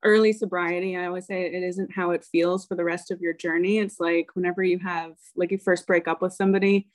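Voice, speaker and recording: A woman in her thirties with an American accent, a coach speaking as a podcast guest in a relaxed, candid way and laughing easily. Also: on the low side, a little fast, no room sound. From United States. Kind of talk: interview conversation.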